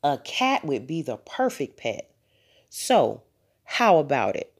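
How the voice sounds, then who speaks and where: A woman's voice: low voice, very animated, slow, husky, full character voice. A woman voicing an eager, scheming little girl who coaxes persuasively in a children's storybook. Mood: eager, coaxing. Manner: coaxing, listing persuasively.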